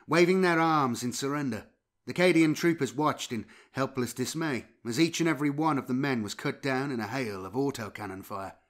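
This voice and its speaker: Man narrating a dramatic war story. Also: medium pitch. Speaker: audiobook narrator and channel host.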